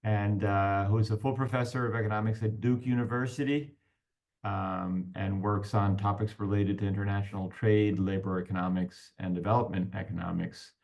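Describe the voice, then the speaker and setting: A man's voice: on the low side, fairly even intonation, moderate pace, creaky, darkish tone. A man moderating a class session, introducing a guest speaker over a video call with many fillers. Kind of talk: formal introduction.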